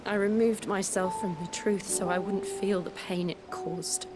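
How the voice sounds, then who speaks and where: Woman acting remorseful young warrior woman over loud music. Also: medium pitch, fairly even intonation, lightly acted. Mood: bitter remorse. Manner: slow, solemn, confessional delivery. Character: remorseful young warrior woman seeking atonement, grave and resolute. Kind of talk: dramatic fantasy game acting. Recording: dramatic music under the voice.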